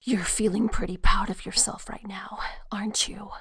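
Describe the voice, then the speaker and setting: A woman's voice: medium pitch, flat delivery, moderate pace, a bit bright, slightly rough, dry recording, studio quality. A woman playing a guilt-ridden, defiant young rich heiress in an audio drama, intense and emotional. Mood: bitter, defiant.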